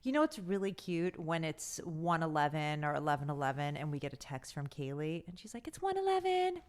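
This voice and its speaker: A middle-aged woman chats casually as a podcast co-host. Her voice sits a little low but swings widely in pitch, giving her conversational storytelling an animated, expressive feel. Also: a little fast. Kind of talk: casual chat.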